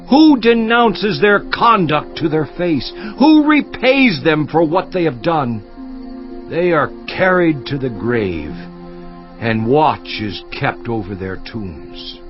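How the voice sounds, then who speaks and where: An anguished man of ancient scripture acted out by a male performer argues in a fairly high voice, impassioned and theatrical, with sweeping intonation. The recording is somewhat dull. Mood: accusing, defiant. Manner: impassioned, declamatory, rhetorical questioning. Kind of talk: dramatized scripture reading, theatrical monologue. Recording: dull, muffled audio with music behind.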